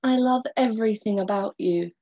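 A woman's voice: medium pitch, normal speed, close to an everyday voice. A woman voicing a lovesick young male tadpole who earnestly begs forgiveness. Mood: pleading. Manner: earnest, apologetic. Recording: dull lo-fi recording.

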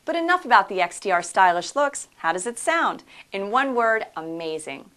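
A woman's voice: mid-range voice, big pitch swings, moderate pace, bright, forceful tone. A woman reading scripted promotional narration for a product video in a polished, upbeat sales manner.

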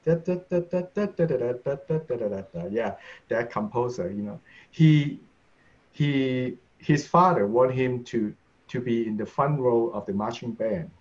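A man, a conductor and tubist, speaking eagerly with halting, non-native phrasing through a headset on a video call from a home office. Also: medium pitch. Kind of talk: casual video-call discussion. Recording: dull lo-fi video call audio.

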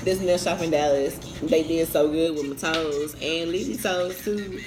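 A woman in her twenties chatting casually while eating on camera, telling a story in relaxed slang. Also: low voice, measured pace. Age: 20s. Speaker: vlogger. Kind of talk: casual mukbang chat. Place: indoors by a concrete wall, eating at a table. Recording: roomy echo with music underneath.

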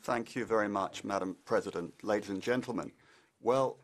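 Halting man interpreting a political speech. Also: on the low side. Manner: halting, with frequent "uh" fillers, then quickening bursts. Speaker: simultaneous interpreter.

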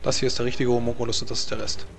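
A man's voice: medium pitch, little pitch movement, rapid-fire, gravelly. A man running a tabletop role-playing game as game master, narrating casually and hesitantly as he thinks aloud. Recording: music behind the voice. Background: music.

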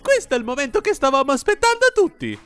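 An excitable cartoon plumber giving out orders, voiced by a man. His pitch leaps about wildly as he exclaims, bossy and keyed up, over music. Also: quick pace, full character voice. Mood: rallying. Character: excitable plumber squad leader in a parody cartoon. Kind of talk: Italian parody cartoon dubbing.

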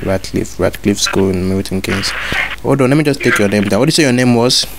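Bossy fast-talking man on a noisy phone line. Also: lowish voice. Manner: bossy, repetitive, demanding orders. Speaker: prank caller posing as a school inspector. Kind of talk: prank phone call.

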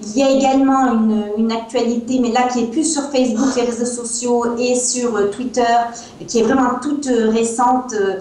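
A woman's voice: fairly high pitch, fairly even intonation, normal speed, dark tone, slight background noise. A woman in her thirties with a Provençal French accent, a guest on a video call who speaks hesitantly with many fillers. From France. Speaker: guest, wine seller. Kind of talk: interview over video call. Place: small room at home, indoors. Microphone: earbuds on a video call. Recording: echoey room sound over a video call.

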